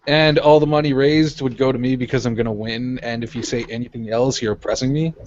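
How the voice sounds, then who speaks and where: A man in a casual voice chat, speaking slowly with a lively voice that swings up and down. He is sarcastic and jokey and laughs often. The lo-fi mic dulls his voice. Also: medium pitch, a little hiss or hum. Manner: joking, sarcastic, laughs a lot. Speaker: chat guest.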